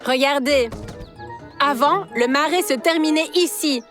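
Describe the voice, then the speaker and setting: A woman's voice: high voice, a little slow, fairly bright tone. A woman playing an earnest, resourceful young village girl in a cartoon, with an encouraging manner. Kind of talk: cartoon dub acting. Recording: music under the voice.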